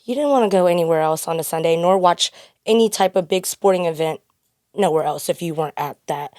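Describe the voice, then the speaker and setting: Lowish-voiced upbeat woman. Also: a little fast, darkish tone. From Kansas City. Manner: chatty, upbeat storytelling. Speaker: podcast guest, bottle attendant. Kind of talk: casual chat.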